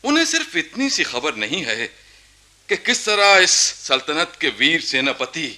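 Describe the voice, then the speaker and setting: A proud young ruler under siege for a radio play. His voice is very bright and forceful, slightly high, and swings widely in pitch. He speaks in regal, rhetorical phrases with a sardonic edge. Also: sing-song, a little slow, full character voice. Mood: sardonic, aggrieved. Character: proud, embattled young Nawab of Bengal. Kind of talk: theatrical Hindi radio-play acting.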